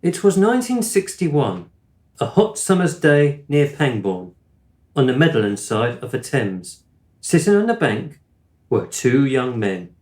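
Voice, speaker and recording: An actor playing a gentle, absent-minded middle-aged father in a radio play, with a wistful storyteller's manner. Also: mid-range voice, very animated, unhurried, echoey room. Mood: dramatic storytelling.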